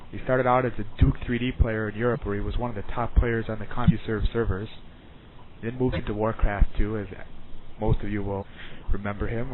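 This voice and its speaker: A man with a fairly low voice conducts a casual gaming interview. The sound is thin and phone-like, with clear noise throughout, like old internet radio. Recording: noisy, tinny phone-like internet audio clip.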